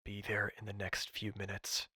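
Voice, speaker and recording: A man's voice: fairly low pitch, monotone, moderate pace, bright, forceful tone, breathy edge, no echo, heavily acted. A man voicing an arrogant, demanding male chef while reading game dialogue aloud. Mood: smooth.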